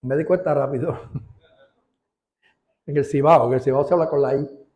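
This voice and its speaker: A man preaching a sermon as pastor, stretching out key words and rising in pitch for emphasis. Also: steady tone, moderate pace, darkish tone, echoey room. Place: church.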